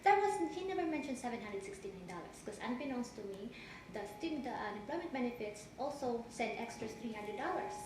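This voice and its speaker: A woman with a Filipino accent testifies in a courtroom, her fairly high voice stopping and restarting as she searches for words. The room sounds very echoey, and a clear layer of background noise runs under her speech. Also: lively intonation. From Philippines. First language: Tagalog. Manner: halting, many restarts. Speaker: witness in a divorce hearing.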